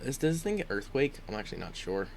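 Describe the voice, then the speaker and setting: A man's voice: on the low side, sing-song, fast, background noise. A congested man giving casual commentary on his own game, thinking aloud with frequent ums and restarts. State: congested, sniffling. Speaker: gaming YouTuber.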